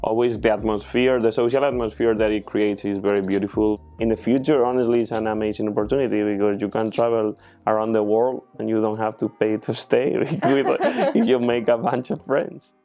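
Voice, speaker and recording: A man's voice: on the low side, fairly even intonation, fairly quick, mellow, soft background music. A young man from Spain, chatting casually in an interview and telling rambling stories with a chuckle. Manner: rambling storyteller, chuckles often. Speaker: interviewee, screenwriting student. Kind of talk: casual chat.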